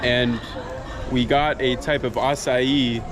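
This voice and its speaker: A man's voice: mid-range voice, steady tone, takes it slowly. Casual vlogging man, outdoors in street noise. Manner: casual, filler-heavy, interviewing. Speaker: travel vlogger. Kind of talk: casual vlog narration. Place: outdoors in a city.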